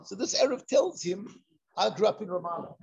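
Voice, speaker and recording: A man teaching an informal Torah discussion, excitable, repeating himself and mixing in Hebrew and Yiddish terms, on a dull lo-fi recording. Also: high voice, fairly quick, gravelly, breathy edge.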